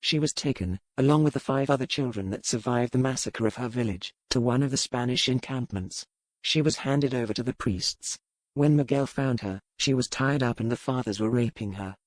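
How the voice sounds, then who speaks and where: An actor playing a stern, world-weary pirate captain in an audiobook, speaking in a measured, formal way. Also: male voice, mid-range voice, dry recording, close to an everyday voice, rough recording. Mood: grave, matter-of-fact. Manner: measured, formal, matter-of-fact. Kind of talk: audiobook character dialogue.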